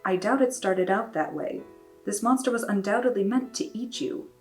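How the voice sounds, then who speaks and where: A woman voicing a stern but gentle mother who speaks in a firm, measured way. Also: medium pitch, soft background music, voice pushed up, lightly acted.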